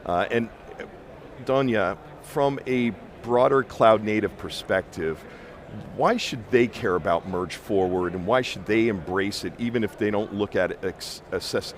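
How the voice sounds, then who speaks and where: A man hosting a conference interview, asking friendly, conversational questions with a few restarts. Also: fairly low pitch. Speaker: interview host.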